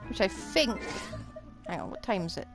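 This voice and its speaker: A woman's voice: medium pitch, sing-song, normal speed, music in the background. A woman livestreaming a game, chatting casually and thinking aloud as she rambles along.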